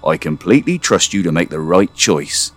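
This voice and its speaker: A man voicing a large scarecrow-like farmer father, affectionate but exacting, speaking firmly and deliberately in a story. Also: low voice, big pitch swings, a bit bright, soft background music, heavily acted. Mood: instructing.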